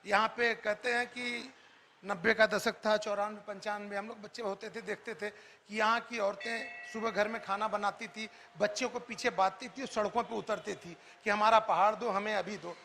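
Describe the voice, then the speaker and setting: A man's voice: high voice, steady tone, normal speed, vocal fry, bright, forceful tone, a little hiss or hum. A man working as a TV reporter, questioning people in a political debate and insistently repeating himself to cut in. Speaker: TV reporter hosting a public debate. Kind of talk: street political vox-pop interviewing. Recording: reverberant, echoing sound.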